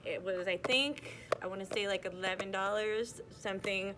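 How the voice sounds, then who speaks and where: Young woman reading a rhyming kids book out loud, bright voice, steady bouncy rhythm, little hiss behind. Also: mid-range voice, moderate pace. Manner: steady rhythmic read-aloud with small slips and restarts. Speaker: reader.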